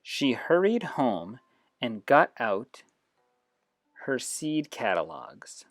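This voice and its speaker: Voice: mid-range voice, very animated, extremely slow, no echo, studio quality, faint music behind. An educator giving an informal, explanatory demonstration with read-aloud passages. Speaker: educator demonstrating reading apps. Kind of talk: instructional screen demo with read-aloud passages.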